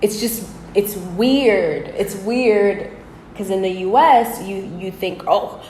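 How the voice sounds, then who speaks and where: A woman hosting a casual interview, talking in a chatty, animated way with lots of "like". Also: mid-range voice, takes it slowly, roomy sound, slight background noise, poor audio. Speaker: host. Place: indoors in the Dominican Republic.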